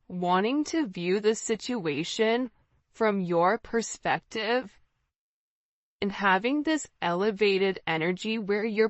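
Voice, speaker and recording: A woman's voice: mid-range voice, no echo, dull audio. A woman giving an informal spiritual tarot reading, talking directly to the viewer in a conversational way and often checking in with the listener. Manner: conversational, often checking in with "right?" and "you know". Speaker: tarot reader, host.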